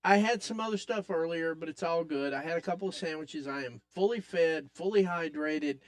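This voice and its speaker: A man with a raspy voice hosting a live wrestling watch-along podcast, excitedly calling the action and chatting with viewers. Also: on the high side, a little fast. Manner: excitable, hypes up the action, talks to chat. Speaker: podcast host.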